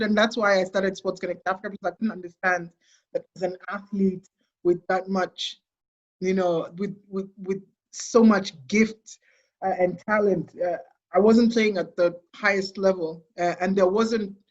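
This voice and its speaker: A woman's voice: mid-range voice, steady tone, moderate pace, dull audio. A young adult Kenyan woman with a Kenyan accent, a guest talking enthusiastically in a casual interview, with frequent laughs and restarts. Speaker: guest, sports consultancy CEO.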